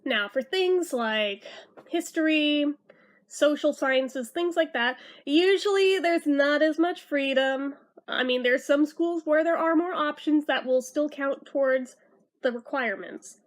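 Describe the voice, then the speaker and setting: A young woman from California with an American accent and a high-pitched voice talks in a chatty, informal way. A slight lisp shows in her speech as she gives advice straight to her viewers. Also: unhurried. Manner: chatty, informal, self-deprecating. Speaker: vlogger giving school advice. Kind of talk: casual advice talk to camera.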